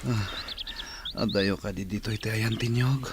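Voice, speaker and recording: A man plays an islander in a radio drama with a low voice and an Ilocano accent. He talks plainly. Clear background noise with animal and bird sounds surrounds him. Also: fairly even intonation, moderate pace, close to an everyday voice. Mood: weary.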